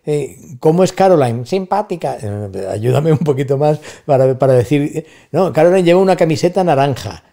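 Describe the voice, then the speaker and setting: A man's voice: medium pitch, warm tone. A middle-aged man with an Andalusian accent, a guest talking at length in a casual podcast conversation, thoughtful and fond of rhetorical asides. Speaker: guest, university staff member.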